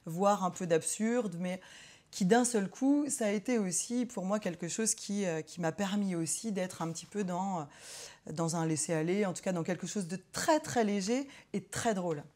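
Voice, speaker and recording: Soft-toned woman speaking with thoughtful hesitation. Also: medium pitch. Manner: hesitant, many pauses and fillers, thoughtful. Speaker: psychologist. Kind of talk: reflective personal interview.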